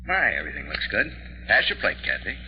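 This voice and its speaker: A mild, absent-minded husband in an old radio play, deep yet animated, forever defending his tinkering. The recording is muffled and noisy. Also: fast. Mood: mildly defensive. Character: absent-minded, mild, henpecked middle-aged father and tinkerer. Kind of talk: 1940s radio drama acting.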